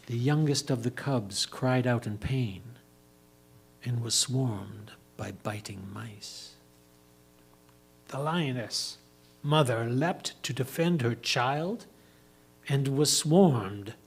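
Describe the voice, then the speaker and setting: A man reading a story aloud as a storyteller, with dramatic, deliberate phrasing and pauses. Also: medium pitch, creaky, slightly rough.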